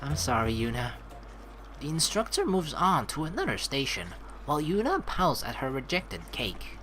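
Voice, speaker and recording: Playful, animated low-voiced woman gamer. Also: normal speed, slight background noise. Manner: playful, chatty, reacts to the story. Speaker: let's play host. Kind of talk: game playthrough, reading narration aloud with casual commentary. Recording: game music behind voice.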